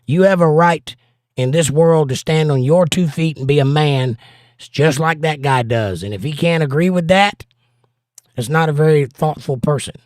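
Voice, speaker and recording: Man, hoarse voice, slightly high pitch, folksy livestream host, dry close mic. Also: normal speed, darkish tone, no echo. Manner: folksy, plain-spoken advice. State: hoarse, voice partly lost. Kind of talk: casual livestream chat, reading viewer comments.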